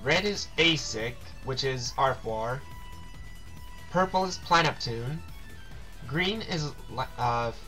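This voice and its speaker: A man's voice: medium pitch, lively intonation, slow pace, pauses often, bright, forceful tone, faint music behind, poor audio. A man doing casual game commentary, reading on-screen text aloud and stumbling over words now and then.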